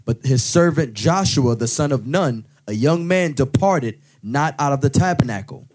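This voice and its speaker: Man, scripture reader, warm mellow voice, steady plain reading. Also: medium pitch, normal speed.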